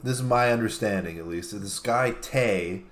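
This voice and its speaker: Deep voiced guy chatting casually as cohost, lots of ums and pauses. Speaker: show co-host. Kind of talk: casual conversational banter.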